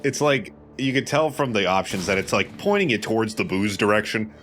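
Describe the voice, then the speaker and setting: A man's voice: lowish voice, expressive, brisk, a bit bright, faint music behind. A man casually chatting and reacting to gameplay as a co-commentator, easygoing and informal. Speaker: let's play co-commentator.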